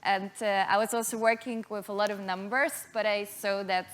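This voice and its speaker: Polish-born founder, 30s, female; hesitant investor-pitch delivery, lots of "uh". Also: fairly even intonation, moderate pace, fairly bright tone. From Poland. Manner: hesitant, many uh and um fillers.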